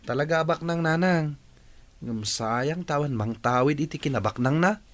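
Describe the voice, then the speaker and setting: A man's voice: a little high, normal speed, natural voice. An actor playing an earnest, plaintive man in an Ilocano radio drama. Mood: animated, earnest.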